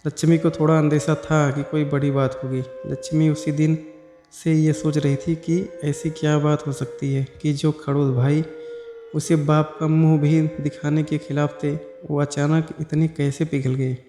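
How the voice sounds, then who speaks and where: A man's voice: fairly high pitch, level tone, measured pace. A man narrating a scripted story as a storyteller. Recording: echoey hall-like reverb over music. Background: background music.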